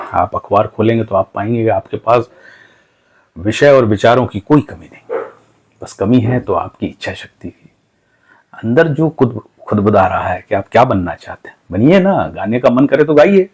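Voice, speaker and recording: A man with a fairly low, slightly husky voice gives an informal lesson. He speaks earnestly, like a mentor, building his advice through examples and rhetorical questions. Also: darkish tone. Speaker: cartooning teacher and channel host. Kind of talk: informal motivational lesson talk.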